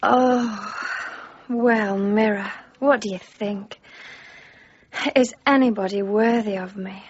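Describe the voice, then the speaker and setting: A woman's voice: mid-range voice, fairly even intonation, slow pace, breathy edge. A woman playing a vain, coquettish young village beauty who teases and scolds her suitor in a radio drama. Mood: preening. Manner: coquettish, sharp-tongued, sing-song. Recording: dull lo-fi 1990s broadcast.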